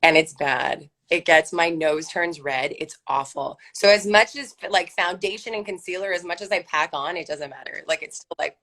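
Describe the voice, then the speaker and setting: A woman actress being interviewed in a casual chat, relaxed and rambling, laughing often. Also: normal speed, hardly any pauses. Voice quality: calls her own voice deep. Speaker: guest actress.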